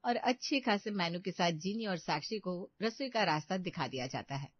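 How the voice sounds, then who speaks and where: A woman's voice: on the low side, expressive, normal speed, no echo, dull audio. A woman narrating a scripted family story.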